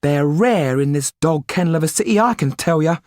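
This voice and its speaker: Man putting on a plain-spoken cafe landlady, raised pitch. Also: lively intonation, fairly quick, a little mellow, dry recording, clean studio sound, close to an everyday voice. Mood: emphatic. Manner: emphatic, colloquial. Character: brisk, flirty cafe proprietor's wife, knitting, plain-spoken.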